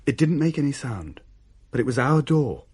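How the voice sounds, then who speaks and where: An actor playing a British man recounting his family's haunting in a radio play, measured, wry and uneasy. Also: mid-range voice, big pitch swings, a little mellow, breathy edge. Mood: uneasy, intent. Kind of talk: dramatised first-person narration.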